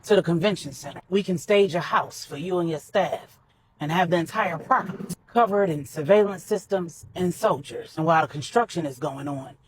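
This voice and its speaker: Woman reading as commanding, low-voiced island crime boss. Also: moderate pace, slight background noise, lightly acted, poor audio. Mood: assured, persuasive.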